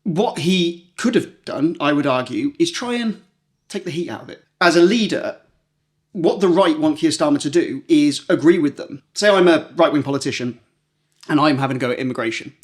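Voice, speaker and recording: A man's voice: fairly high pitch, lively intonation, moderate pace. A man co-hosting a podcast in casual political chat, telling stories and acting out imagined quotes. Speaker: podcast co-host.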